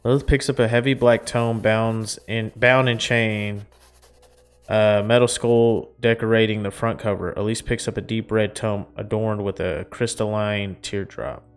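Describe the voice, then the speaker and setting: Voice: medium pitch, fairly even intonation, a little slow, clear, resonant tone. A player reading a visual novel's narration aloud and breaking in with casual reactions.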